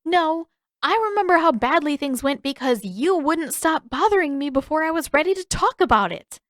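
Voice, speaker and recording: A woman plays a feisty teenage girl in an audiobook story, her voice pitched high and swooping. She is defensive and huffy, snapping with exasperated emphasis. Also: lively intonation, moderate pace, a bit bright, dry recording, studio quality.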